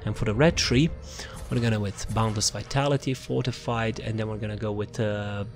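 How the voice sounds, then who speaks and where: A man's voice: lowish voice, fairly even intonation, a little fast. A man giving a casual, unscripted video game build walkthrough, rambling and repeating words as he looks for things. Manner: rambling, repeats words and phrases while searching. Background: background music.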